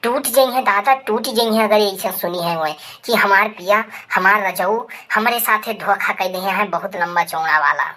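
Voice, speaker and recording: A woman's voice: mid-range voice, moderate pace, hardly any pauses, sharp tone, natural voice. A woman voicing a dramatic, scolding village woman with an Awadhi accent in a rural cartoon comedy. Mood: scandalized. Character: loud village women, scandalized gossips and an angry betrayed wife.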